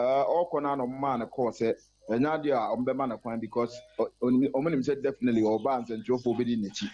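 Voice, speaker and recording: A man reports live by phone for a sports radio show, moving between Twi and English. His voice is mellow and dark, with a middle pitch. The sound comes through a dull, muffled phone line. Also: a little hiss or hum. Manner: fast, fluent report mixing Twi and English.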